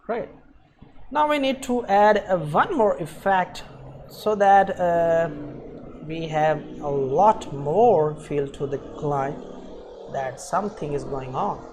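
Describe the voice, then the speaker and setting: Man, coding tutorial presenter, very slow halting delivery, slightly high pitch, keyboard typing and faint noise behind. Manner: halting, thinks aloud while working. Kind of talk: unscripted screencast coding tutorial.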